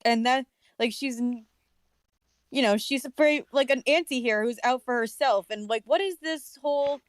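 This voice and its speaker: A woman's voice: high-pitched, normal speed, no room sound. A woman co-hosting a casual discussion, talking in a sarcastic, critical way. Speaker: podcast co-host. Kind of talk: casual comic-book discussion.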